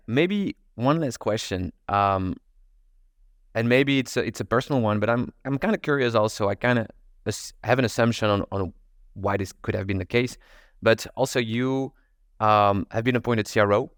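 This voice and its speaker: Podcast host, man, low smooth voice, dry sound, hesitant and thinking aloud. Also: normal speed, no echo. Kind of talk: interview questions.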